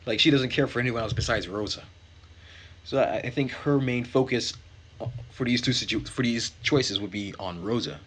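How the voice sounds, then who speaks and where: A man's voice: mid-range voice, normal speed, fairly bright tone. A man narrating a visual novel and commenting casually as he plays. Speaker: let's player. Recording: faint background noise.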